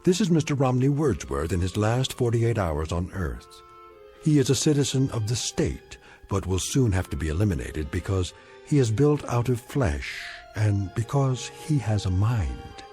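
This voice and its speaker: An actor as a grave, solemn narrator of eerie anthology drama, speaking deliberately and portentously. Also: male voice, on the low side, dark tone. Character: grave, ominous male narrator of eerie tales.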